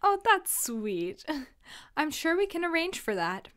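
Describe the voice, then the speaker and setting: A woman's voice: extremely high pitch, sing-song, takes it slowly, fairly bright tone, dry recording, studio quality, exaggerated voice. A woman playing a chirpy fiend, sweetly polite and menacing, in a fantasy audio drama. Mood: sweetly pleased. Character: sugary, chirpy female fiend serving an evil baron.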